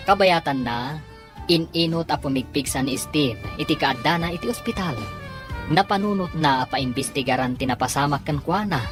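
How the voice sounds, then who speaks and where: A woman narrating a radio serial in a dramatic, declamatory style, drawing out the titles. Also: low-pitched. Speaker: announcer and narrator.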